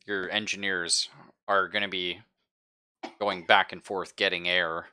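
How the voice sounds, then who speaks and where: A man acting as game master in a tabletop roleplaying game, describing things conversationally and haltingly as he thinks aloud. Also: deep voice, little pitch movement, bright, forceful tone, smooth. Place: live-streamed game session.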